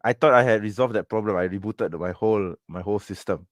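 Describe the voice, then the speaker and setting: A man with a Malaysian accent chats casually as a live-stream host. His voice is very low, and the sound is dry and close. He often repeats phrases as he goes. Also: a little fast, no room sound. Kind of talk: casual chat.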